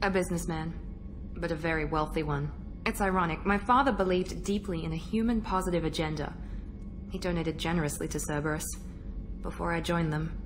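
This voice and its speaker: An actress playing a guarded, proud engineered operative in a video game, speaking in a measured, self-assured way over background music. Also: female voice, fairly low pitch, steady tone, close to an everyday voice. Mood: softened, reflective. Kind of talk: video game dialogue acting.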